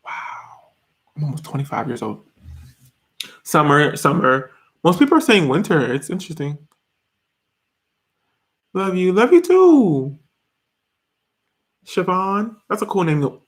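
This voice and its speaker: A man in his twenties chatting casually on a live stream from his bedroom, reading comments, laughing often and restarting his sentences. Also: fairly high pitch. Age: 20s. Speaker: YouTuber reading live chat. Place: small bedroom, indoors.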